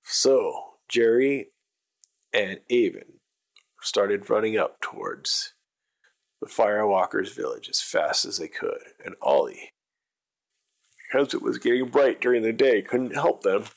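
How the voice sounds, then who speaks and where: A man's voice: on the low side, animated, measured pace, vocal fry, long pauses. A man narrating an improvised bedtime story for children, with simple wording and emphatic repetition.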